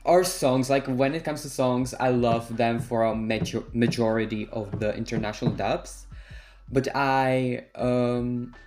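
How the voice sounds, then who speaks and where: A man's voice: medium pitch, steady tone, a little slow, darkish tone, slight background noise. Sassy young man with a Czech accent. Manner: sassy, slangy, dismissive. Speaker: vlogger. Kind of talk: casual opinion rant.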